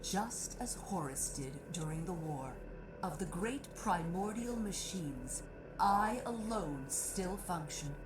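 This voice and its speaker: Female AI tyrant for a game, lowish voice, slow and deliberate, cold, grandiose, commanding. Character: cold, imperious female machine overlord running a city. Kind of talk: dramatic video game voice acting. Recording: music behind the voice.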